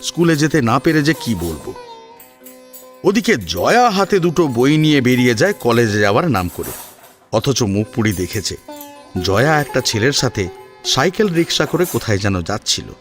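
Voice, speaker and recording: A male storyteller with a mid-pitched voice and lively, varied intonation. He narrates a scripted tale expressively. Soft instrumental music plays behind him. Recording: music under the voice.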